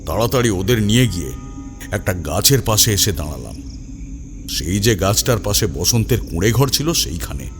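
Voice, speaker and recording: A man narrating a scripted first-person horror story in a dramatic, suspenseful manner. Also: lowish voice.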